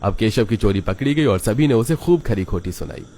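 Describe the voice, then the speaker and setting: A man's voice: lowish voice, expressive, brisk, mellow, slight background noise. A man narrating a children's cartoon story from a script.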